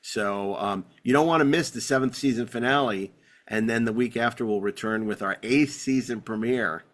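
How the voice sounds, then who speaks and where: A man's voice: medium pitch, normal speed, a bit bright. A man hosting a podcast in casual banter, rambling along with enthusiasm and wry humor. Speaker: podcast host.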